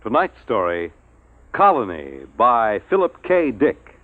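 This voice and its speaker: Dramatic American announcer, swooping vintage radio delivery. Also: male voice, medium pitch, very animated, slow pace. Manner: portentous, declamatory. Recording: muffled 1950s radio recording with music. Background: music.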